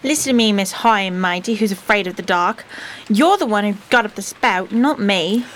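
A brazen, greedy schemer of a woman, played by an actress in a period radio mystery. She sneers and taunts coarsely, with a mid-pitched voice. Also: moderate pace, a bit bright, natural voice. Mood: sneering. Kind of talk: period detective radio drama acting.

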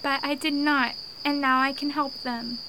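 Radio drama child, played by a woman: high, stubborn, earnest, oddly formal delivery on a noisy recording. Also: fairly even intonation, moderate pace. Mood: defiant. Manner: stilted, formal speech without contractions. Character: earnest, stubborn little girl adopted by aliens. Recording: noisy, with cricket-like background.

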